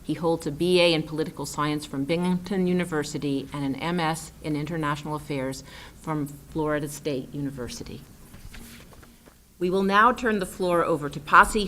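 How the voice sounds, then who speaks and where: Woman, event moderator, formal prepared introduction, low voice. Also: sharp tone, a little hiss or hum. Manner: formal, reads from a prepared text. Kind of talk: scripted introduction.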